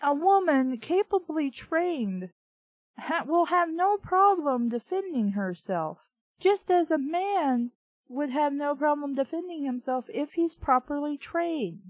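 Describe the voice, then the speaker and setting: A woman's voice: high voice, expressive, slow, no room sound, rough recording. A woman host reading fiction aloud and breaking in with sarcastic, irritated, profane commentary. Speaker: host of a bad-book reading show.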